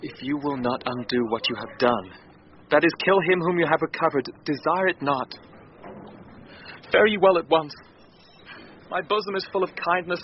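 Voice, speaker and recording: An actor playing a courteous, grieving young gentleman in a classical Shakespearean radio play, with formal, earnest delivery. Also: a little high, slight background noise, natural voice. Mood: tender, resolute. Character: courteous, melancholy young gentleman grieving his drowned sister. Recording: muffled radio drama recording.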